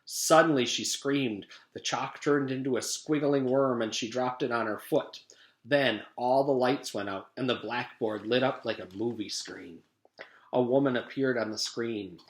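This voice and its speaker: A man's voice: medium pitch, unhurried. A man reading a children's story aloud as a teacher would to a class. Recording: echoey room, home-made reading.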